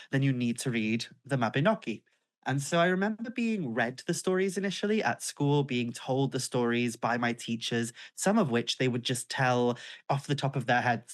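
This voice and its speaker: A man from North Wales with a Welsh accent, Welsh being his first language, chatting as a guest author and telling stories in a relaxed, explanatory way. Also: medium pitch. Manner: chatty, storytelling, warmly explaining. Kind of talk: casual interview chat.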